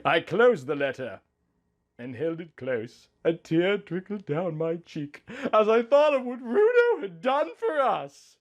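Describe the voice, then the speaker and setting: A man reading a romantic story aloud in a dramatic, drawn-out, theatrical manner. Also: high voice, sing-song, muffled recording. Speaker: narrator reading a fan story. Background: background music.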